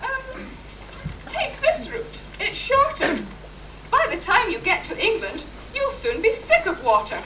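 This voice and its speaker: A woman's voice: very high-pitched, wildly swooping pitch, a little slow, full character voice. A woman playing a frail old businessman in an amateur rhyming Christmas pantomime. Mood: bossy. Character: frail old Swiss business partner with an awful cough. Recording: noisy roomy distant stage recording with audience.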